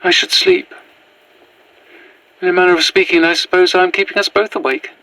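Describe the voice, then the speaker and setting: A dignified Victorian woman's spirit speaking through another body. Her voice is bright and formal, with measured, old-fashioned diction. It sits close and dry, as in a Gothic audio drama. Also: fairly low pitch, lively intonation, no echo, low-quality recording. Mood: reflective.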